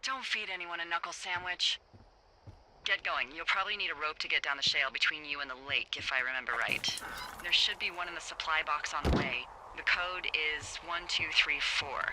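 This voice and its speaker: An actress playing a wry, bossy, foul-mouthed fire lookout supervisor in a video game, teasing and casual over a handheld radio. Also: female voice, deep voice, brisk, noisy background. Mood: wry, matter-of-fact. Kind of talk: naturalistic video game dialogue.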